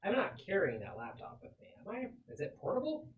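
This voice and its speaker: A man's voice: high-pitched, huge pitch swings, fast talker, narrow, tinny audio, a little hiss or hum. A man playing a tabletop game, talking casually through his character's moves and questions. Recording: voices of group in room.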